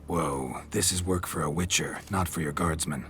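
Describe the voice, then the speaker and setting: Very deep, deadpan monster hunter. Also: male voice, normal speed, slight background noise. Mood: matter-of-fact. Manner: clipped, deadpan, drops sentence openings. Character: stoic gruff male monster hunter, weary and matter-of-fact. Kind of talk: video game voice acting.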